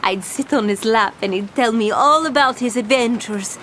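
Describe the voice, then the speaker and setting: A woman's voice: fairly high pitch, normal speed, fairly bright tone, a little hiss or hum, close to an everyday voice. A woman playing a bossy, treasure-obsessed pirate captain in a cartoon adventure, theatrical and full of salty pirate slang. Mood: wistful storytelling. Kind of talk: animated cartoon adventure storytelling.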